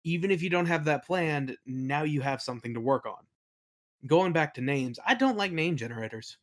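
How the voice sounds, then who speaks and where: A man's voice: on the high side, animated, normal speed. A man in a casual interview, talking informally as a game master and telling anecdotes. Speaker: podcast guest, game master and worldbuilder.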